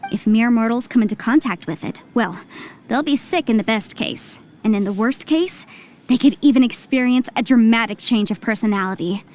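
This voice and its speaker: A woman's voice: fairly high pitch, normal speed, slight background noise, lightly acted. A woman playing a shrewd, confident young legal adviser in an anime-style video game, articulate, explanatory and businesslike. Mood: matter-of-fact. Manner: articulate, explanatory, self-assured. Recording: soft music behind the voice. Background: game music.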